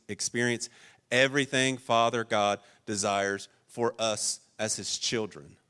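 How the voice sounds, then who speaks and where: A man delivers a sermon in a fairly low voice. He talks earnestly and emphatically, with louder bursts on key points and softer confiding asides. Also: clean tone. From rural Ohio. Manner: earnest and emphatic, swinging between raised stresses and quiet personal asides. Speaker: pastor.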